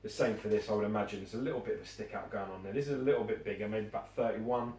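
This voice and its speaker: Blunt man, low voice, echoey room. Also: very fast, darkish tone. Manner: blunt, swears casually, restarts often. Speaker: collectibles reviewer. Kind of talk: casual chat. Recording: echoey room, mic at a distance.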